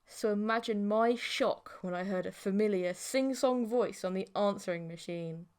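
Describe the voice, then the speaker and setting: A woman's voice: mid-range voice, normal speed, a little mellow, smooth. A woman narrating a scripted first-person audiobook story.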